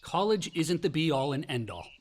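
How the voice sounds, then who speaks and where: An actor playing a volatile, wounded college-age son in a radio play, with a sardonic, defensive American delivery. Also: male voice, fairly high pitch, sing-song, moderate pace. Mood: sullen, defensive. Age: young adult. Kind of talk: naturalistic radio play acting.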